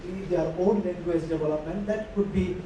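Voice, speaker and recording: A man's voice: high-pitched, moderate pace. A man speaking as a panelist at a conference, hesitant and full of fillers. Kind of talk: conference remarks. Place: large conference hall. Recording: distant echoey hall recording with noise.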